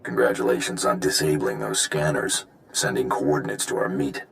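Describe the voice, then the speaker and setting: A man playing a secretive, coolly menacing villain in a video game, speaking in a measured, gravelly voice. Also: a bit bright, a little hiss or hum, heavily acted. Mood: cool, controlled. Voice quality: gravelly, very deep.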